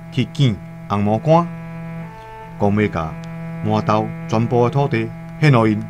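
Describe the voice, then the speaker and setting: An actor playing a reflective seafaring trader in a radio drama, with a Taiwanese accent and measured storytelling. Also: male voice, lowish voice, natural voice. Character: male seafaring trader, reflective and matter-of-fact. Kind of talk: radio drama monologue and dialogue. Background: faint music.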